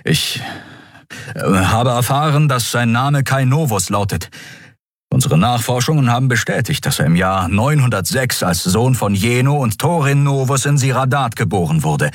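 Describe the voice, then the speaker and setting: A man voicing a hot-tempered human admiral who barks orders and shouts. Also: mid-range voice, expressive, normal speed, no room sound, studio quality, pitched above the performer's own voice, lightly acted. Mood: reporting. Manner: forceful, shouting, impatient. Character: furious human male admiral, commanding.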